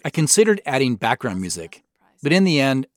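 A man's voice: mid-range voice, sing-song, fairly quick, dry recording. A man who creates audio drama, giving a reflective, explanatory director's commentary.

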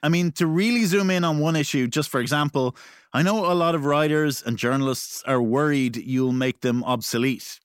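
A man's voice: on the high side, normal speed, clear, resonant tone, no echo, studio quality. A man hosting a podcast, interviewing in a casual, playful style. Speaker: podcast host.

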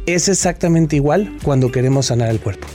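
A man with a mellow, slightly raised voice talks through his advice as a guest expert, stopping often to restart a word or a sentence. Soft music plays under the conversation. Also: a little high, animated, fairly quick. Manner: explanatory, with frequent restarts. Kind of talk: interview answers.

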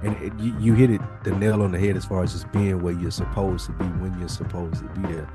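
A man's voice: low voice, little pitch movement, fast talker, music in the background. A man co-hosting a podcast, chatting casually in a blunt, slangy style with swearing. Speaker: podcast co-host. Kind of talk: casual chat.

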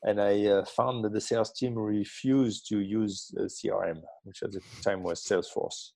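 A man's voice: on the low side, fairly even intonation, normal speed, vocal fry, a little mellow, dry recording. A man in his fifties from the south of France with a French accent, speaking as a guest in an interview, hesitant with many ums and restarts. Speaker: guest, tech company founder. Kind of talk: interview conversation.

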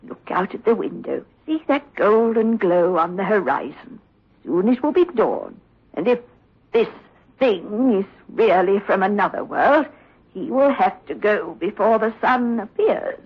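An actress playing a protective, fearless middle-aged aunt in 1960s radio horror, with a brisk, scolding manner. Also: female voice, mid-range voice, lively intonation, husky. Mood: quiet, reasoning. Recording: dull, muffled old radio recording.

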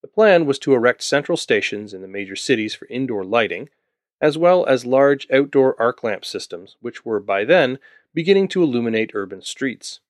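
A man's voice: mid-range voice, expressive, moderate pace, dry recording, studio quality. A man from Ontario narrating a scripted history podcast, with wry asides to the listener. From Ontario, Canada. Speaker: podcast host.

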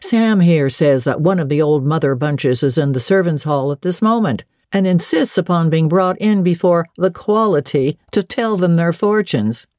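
A woman voicing a genial gentleman colonel in an audiobook, courteous and persuasive with a touch of mischief. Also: lowish voice, animated, normal speed, few pauses, no room sound, clean studio sound, lightly acted. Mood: persuasive, jovial.

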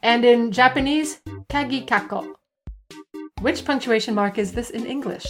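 Bright-voiced woman reading a quiz. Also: fairly high pitch, moderate pace, over music. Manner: playful, teasing, reads questions crisply. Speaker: podcast co-host and quizmaster. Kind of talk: reading quiz questions on a podcast.

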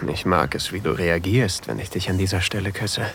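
An actor playing a possessive new lover in an intimate erotic audio drama, murmuring breathily and often whispering close to the microphone. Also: male voice, sits low, brisk, fairly bright tone, a little hiss or hum. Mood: aroused, possessive. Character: possessive, seductive new lover, adult man, on a sailboat. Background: faint sea ambience.

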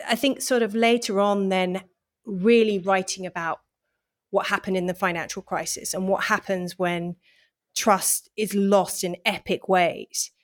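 A woman lecturer who reads a passage aloud, then answers interview questions in a thoughtful, hesitant way with many fillers and restarts. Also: medium pitch. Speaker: university lecturer, interview guest.

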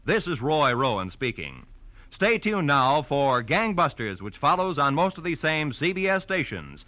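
A man's voice: on the high side, sing-song, unhurried, sharp tone, no echo. An American man announcing in old network radio style, reading scripted credits with polished, emphatic projection.

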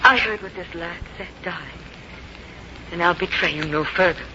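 A woman's voice: fairly low pitch, normal speed, close to an everyday voice. An actress playing a tender, loyal woman in love, speaking in an earnest, refined, formal way in a 1950s radio drama. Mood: earnest, confessing. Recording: muffled, noisy old radio broadcast.

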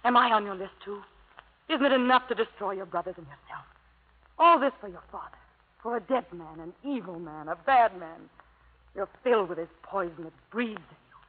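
A woman's voice: medium pitch, expressive, normal speed, natural voice. An actress playing a lovelorn, embittered woman with an intense, pointed delivery in a 1950s radio melodrama. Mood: pleading, scornful. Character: lovelorn, embittered woman pleading with her hardened lover. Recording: old tinny radio broadcast.

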